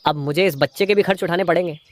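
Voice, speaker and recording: A man's voice: high voice, fast talker, natural voice. A man voicing a stingy, two-faced crow husband in a moral-story cartoon, a schemer who feigns sweetness to his wife. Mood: alarmed, grumbling.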